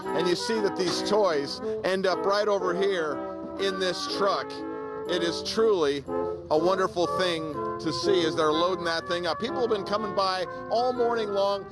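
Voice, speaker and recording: A man's voice: sits high, normal speed. A man reporting live on location for TV news in an enthusiastic, ad-libbed way. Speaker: TV field reporter. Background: live brass band music.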